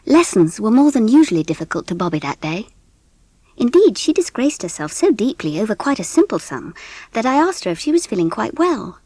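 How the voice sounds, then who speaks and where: A woman with an English accent giving an expressive, measured narration of a scripted storybook. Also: fairly high pitch, no room sound. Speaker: audiobook narrator.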